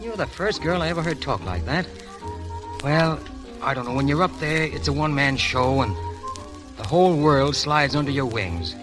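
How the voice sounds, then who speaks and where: A man's voice: on the high side, animated, normal speed, close to an everyday voice, low-quality recording. An American man playing a cocky, flirtatious veteran pilot with brash, slangy patter in a 1930s Hollywood film. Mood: breezy. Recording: vintage 1930s film soundtrack with music.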